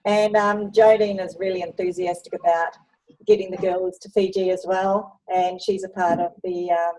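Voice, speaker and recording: A woman's voice: on the low side, level tone, normal speed, darkish tone, poor audio. A woman from New Zealand with a New Zealand accent, hosting an interview while showing slides and chatting with frequent ums. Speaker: host presenting a netball travel program.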